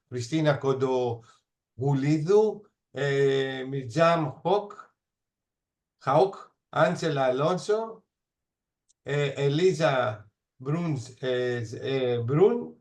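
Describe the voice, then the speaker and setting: Greek-accented male moderator on a video call, halting delivery, mid-pitched voice. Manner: hesitant, halting with fillers. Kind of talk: online panel moderating.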